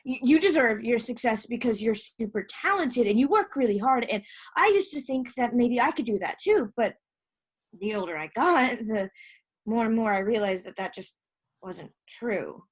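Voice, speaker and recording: Woman to play insecure, hurting little sister in a stage drama; high, swooping voice, halting and raw. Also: animated, slightly rough. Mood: hesitant, wounded. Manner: halting, emotional, profane. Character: hurt, insecure younger sister fresh out of rehab. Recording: live stage recording.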